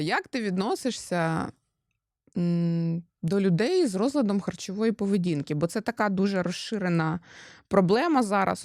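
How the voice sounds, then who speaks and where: A woman's voice: on the low side, a little mellow, no room sound, clean studio sound. A young woman hosting her husband in a casual, teasing interview, chatty and playful, mixing in Russian words.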